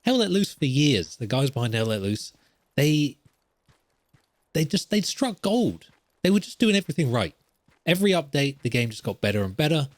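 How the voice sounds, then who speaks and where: A man's voice: fairly high pitch, moderate pace, dry recording. Emphatic, rambling man hosting a podcast. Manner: rambling, animated and opinionated, with emphatic repetition. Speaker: podcast host. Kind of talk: casual solo gaming commentary.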